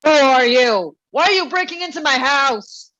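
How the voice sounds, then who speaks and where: A very high, bright voice from a woman plays a flustered man of sixty-five in a cartoon comedy. He protests indignantly, with pitch leaping about. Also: animated, normal speed, highs cut off. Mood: indignant, panicked. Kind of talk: animated comedy script table read.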